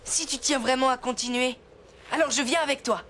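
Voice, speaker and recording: A woman's voice: high voice, brisk, fairly bright tone, a little hiss or hum. A woman playing a brave, caring young boy in a French cartoon, earnest and protective. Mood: urgent, determined. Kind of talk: French animated series dubbing.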